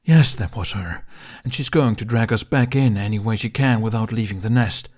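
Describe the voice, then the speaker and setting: Man voicing a gruff, low dragon companion. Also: moderate pace, darkish tone, close to an everyday voice. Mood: grim. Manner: grunting, emphatic. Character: male dragon companion, gruff, loyal, urgent. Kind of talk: audiobook character voice.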